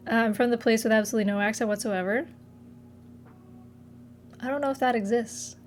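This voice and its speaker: A woman's voice: a little high, a little fast, a little hiss or hum. A young woman from Massachusetts, chatting casually with her live chat from her apartment, giggling and trailing off mid-sentence. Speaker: streamer.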